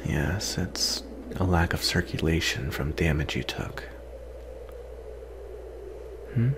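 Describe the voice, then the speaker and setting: A man playing a guarded, weary yet secretly tender vampire in an intimate ASMR roleplay, soft-spoken with halting pauses. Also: deep voice, noisy background.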